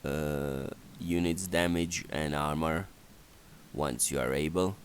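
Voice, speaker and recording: A man giving unscripted strategy game commentary in a halting, matter-of-fact, instructional way. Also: rumbling low, steady tone, a little hiss or hum. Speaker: game walkthrough narrator. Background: game ambience and effects.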